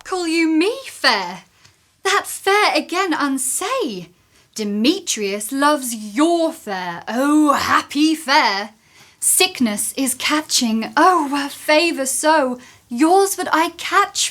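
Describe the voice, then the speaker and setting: A woman's voice: high voice, sing-song, takes it slowly, fairly bright tone, full character voice. A woman playing a lovelorn, envious young woman, speaking plaintive, self-pitying verse in a Shakespearean radio play. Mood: envious, wounded. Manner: plaintive, theatrical verse delivery.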